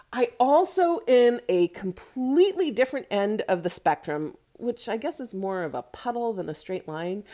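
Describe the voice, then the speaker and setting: A woman's voice: fairly low pitch, a little mellow. Chatty, opinionated woman podcaster. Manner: chatty, opinionated, emphatic asides and check-ins like "okay?" and "right?". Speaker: podcast host. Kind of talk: casual movie review monologue.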